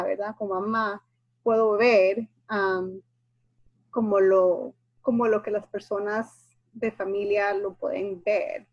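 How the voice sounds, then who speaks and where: A woman's voice: medium pitch, husky, dry recording, highs cut off. A woman therapist talking in a reflective, conversational way, often checking in with the listener. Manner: warm, reflective, frequently asks ¿verdad?. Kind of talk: casual discussion.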